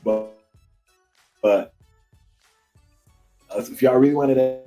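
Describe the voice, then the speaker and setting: A man born in Haiti and raised in America, explaining things in a hesitant manner with frequent pauses. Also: medium pitch, dark tone, faint music behind. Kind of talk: personal explanation video.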